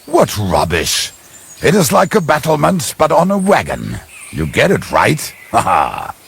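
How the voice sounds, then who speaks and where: Game casting: a cheeky, boozy schemer, very raspy and creaky, rambling with wild swings. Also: male voice, medium pitch, wildly swooping pitch, unhurried, a bit bright, full character voice. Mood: cheeky, excited. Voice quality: gravelly, husky. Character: boozy middle-aged ruffian, cheeky schemer. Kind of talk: video game character acting.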